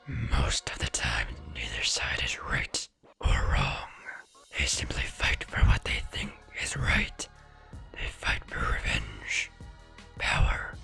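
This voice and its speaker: A man voicing an ancient, gruff and wise giant fox spirit in a slow, breathy, raspy growling whisper for a story narration. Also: a bass voice, fairly bright tone, voice pushed down, heavily acted. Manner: slow, grave, weighty delivery.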